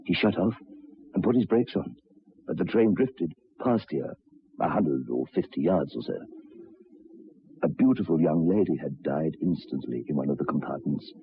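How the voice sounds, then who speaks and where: A troubled railway signalman in a radio play. The voice sits low and is heavily husky, with grave, deliberate, halting phrasing. The recording is dull and lo-fi, like an old broadcast. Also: lively intonation, exaggerated voice. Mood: haunted, grave. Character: haunted, troubled railway signalman telling of ghosts. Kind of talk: old radio drama acting.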